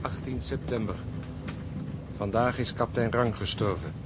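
An actor playing a solemn, pious ship's officer in a radio drama, speaking gravely and soberly. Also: male voice, moderate pace, lightly acted. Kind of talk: Dutch radio drama acting. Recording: rumbling engine noise behind.

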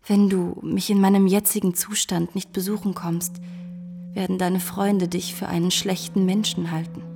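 Breathy, seductive, scheming mistress. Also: female voice, mid-range voice, dark tone. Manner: coaxing, theatrical, often breathy. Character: seductive, scheming married mistress, flattering and calculating. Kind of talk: German radio play acting.

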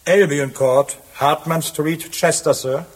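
An actor playing a polite but persistent police constable, formal and official, in a German radio crime drama. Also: male voice, on the high side, fairly even intonation, takes it slowly, breathy edge. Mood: formal, insistent.

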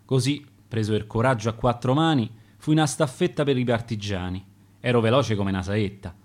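A man's voice: medium pitch, brisk, natural voice. A man reading the verse of a dead young woman who was a wartime partisan courier, in a Roman accent. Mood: grave. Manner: reciting dialect verse in rhyme.

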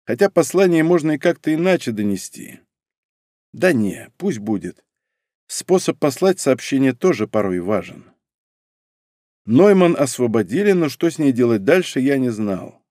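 A man narrating an audiobook in the first person, in a measured, wry reading. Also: mid-range voice, very animated, dark tone, no echo, studio quality.

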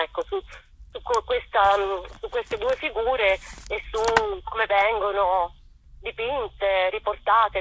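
Husky woman on a tinny phone line. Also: mid-range voice. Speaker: theater author and actress, interview guest. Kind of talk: spontaneous interview talk. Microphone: telephone call. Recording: tinny, muffled telephone line with echo.

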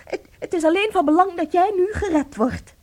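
An actress playing an anxious, devoted wife in a radio play, tender and theatrical, with a breathy delivery. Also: female voice, very high-pitched, expressive, normal speed. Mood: distraught. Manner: theatrical, breathy, swinging from hushed to agitated.

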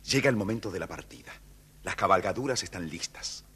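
A man's voice: sits low, lively intonation, brisk, very noisy. A man with an Argentine accent narrating a scripted children's story as the storyteller.

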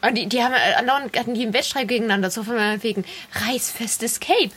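A woman's voice: fairly high pitch, quick pace, a bit bright, a little hiss or hum. A woman co-hosting a podcast, reminiscing with nostalgic enthusiasm in a casual group chat. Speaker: podcast co-host.

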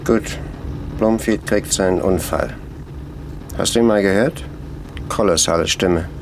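An actor playing a wary, gruff underworld fixer with a gravelly voice in a radio crime drama. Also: male voice, low-pitched, unhurried, slight background noise.

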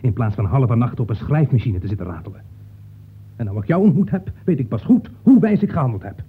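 An actor in a Dutch radio play, playing an irritable, rattled writer who talks in a curt, exasperated way. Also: male voice, medium pitch, sing-song, a little fast, slightly rough. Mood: firm, exasperated. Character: rattled, irritable male novelist, curt and weary. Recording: muffled phone-like old radio recording.

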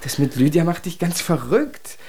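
Radio drama role: a fed-up yet caring male, lightish pitch, very animated, faint noise behind. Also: normal speed, gravelly. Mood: exasperated. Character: exasperated but concerned man reassuring a troubled companion. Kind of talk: German radio drama acting.

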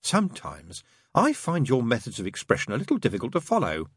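A man's voice: medium pitch, wildly swooping pitch, a little fast, slightly rough, no room sound, studio quality, pitched above the performer's own voice, full character voice. A man voicing a prim, fussy, genteel male angel with polite, old-fashioned, faintly pompous phrasing, in an audiobook story. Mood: resigned.

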